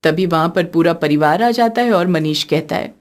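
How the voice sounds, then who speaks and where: A woman's voice: fairly low pitch, moderate pace. A woman narrating a scripted story as the storyteller.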